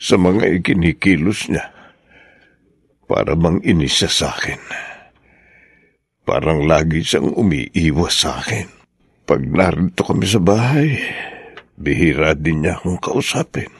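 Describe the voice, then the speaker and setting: A man with a Filipino accent playing a gentle, hurt, hesitant elderly father-in-law in a radio drama. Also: low voice, animated, measured pace, pauses often, slightly rough, full character voice. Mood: sad, musing. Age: older. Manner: hesitant, humble, soft-hearted.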